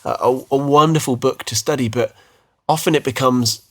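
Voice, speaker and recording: A British man in his thirties talks easily with his wife on a podcast, with a middling pitch. He tells his story in a chatty way, often stumbling into small restarts, and jokes at his own expense. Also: normal speed, fairly bright tone. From United Kingdom. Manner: chatty, self-deprecating, frequent restarts. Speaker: podcast co-host. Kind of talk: casual faith podcast chat.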